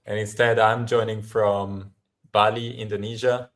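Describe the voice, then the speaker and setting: A man's voice: lowish voice, level tone, unhurried. An Italian man from Milan co-hosting a live stream, chatting in an upbeat, welcoming way. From Italy. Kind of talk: casual live-stream chat. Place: home in Bali, at night.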